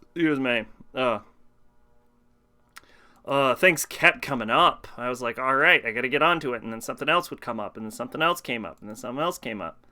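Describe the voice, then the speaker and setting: A man's voice: medium pitch, expressive, fairly quick, pushed and bright. A man streaming live, chatting casually and ramblingly with his viewers. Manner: chatty, rambling, talks to his chat and answers questions.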